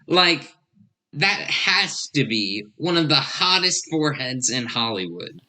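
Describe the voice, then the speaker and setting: A woman's voice: extremely low pitch, very animated, slow, bright, forceful tone, full character voice, rough recording. A woman playing a giddy, gossipy, starstruck fan in a radio drama, drawing out her swoons. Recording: faint background noise.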